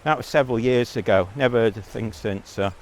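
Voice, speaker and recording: A man's voice: mid-range voice, brisk, slight background noise. A man giving a blunt, rambling monologue as a vlogger, talking while out on a walk.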